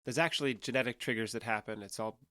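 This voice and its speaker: A man's voice: mid-range voice, fast, pushed and bright, no room sound, clean studio sound, close to an everyday voice. An American man playing a guarded, sincere alien who passes for a young adult, explaining himself hesitantly in a naturalistic audio drama. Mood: earnest explaining.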